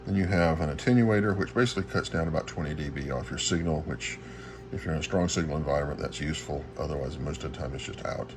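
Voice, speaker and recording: A man giving an informal equipment walkthrough as a hobbyist presenter, speaking haltingly and searching for his words. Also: very deep voice. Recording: clearly noisy amateur recording.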